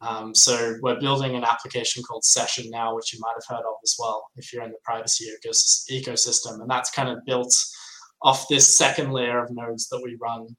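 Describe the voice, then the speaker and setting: An Australian man speaks as an interview guest, explaining things at a medium pitch. His voice comes through with a clearly roomy echo, as on a remote call from indoors. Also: little pitch movement, fairly quick, a bit bright. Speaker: guest, software project developer. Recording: roomy, echoey sound.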